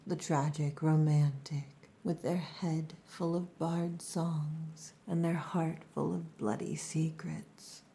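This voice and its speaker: Orc wife for ASMR roleplay, played by a woman: low, mellow, breathy near-whisper, strict and possessive caretaker. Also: level tone, slow, few pauses, slight background noise, heavily acted. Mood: probing, somber.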